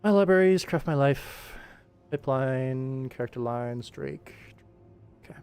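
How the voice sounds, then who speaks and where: A man's voice: medium pitch, unhurried, darkish tone, clean tone. A man giving casual livestream commentary, informally thinking aloud as he works on an animation production. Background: music.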